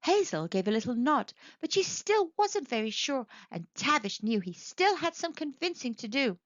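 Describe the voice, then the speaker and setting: Animated woman reading a children's story. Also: high-pitched, moderate pace, no echo, highs cut off. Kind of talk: expressive children's read-aloud narration.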